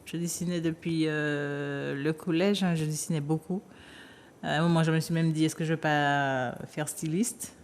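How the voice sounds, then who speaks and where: A woman therapist, a guest on a talk show, explaining her work calmly with some hesitant fillers. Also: low voice, normal speed. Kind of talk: interview answers.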